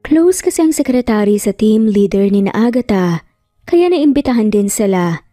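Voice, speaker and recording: A woman's voice: mid-range voice, animated, normal speed, mellow, no echo, clean studio sound. A woman storyteller giving a scripted, dramatic narration of a Tagalog romance story. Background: background music.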